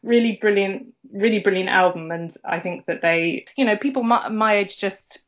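A woman's voice: mid-range voice, moderate pace. A woman from North Wales chatting casually as a guest, effusive and enthusiastic. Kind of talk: casual chat. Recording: dull lo-fi audio.

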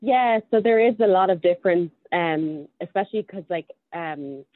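Low-voiced Irish woman on a tinny line. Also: expressive, normal speed, dry recording. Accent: Dublin Irish. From Ireland. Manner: hesitant, many ums and restarts. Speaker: podcast guest. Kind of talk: casual interview chat.

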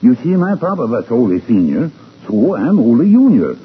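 A man's voice: medium pitch, big pitch swings, normal speed, breathy edge, phone-quality sound. An actor playing a simple, cheerful, earnest handyman in a 1940s radio comedy. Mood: proud, amused. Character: simple, cheerful immigrant lodge handyman, proud father. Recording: very noisy, phone-thin old radio recording.

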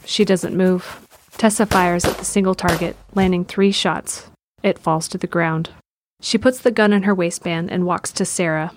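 A woman's voice: lowish voice, fairly even intonation, normal speed, noisy background. A woman reading scripted present-tense narration for an audio drama.

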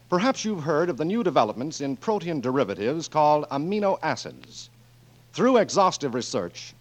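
An American man making scripted 1940s radio announcements in a formal, emphatic manner. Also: on the high side. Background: music.

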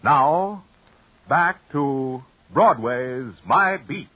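A man's voice: mid-range voice, lively intonation, drawn-out words, heavy background noise. A man announcing in a formal, declamatory mid-century radio style. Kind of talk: 1940s-50s radio announcing. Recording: dull vintage radio recording.

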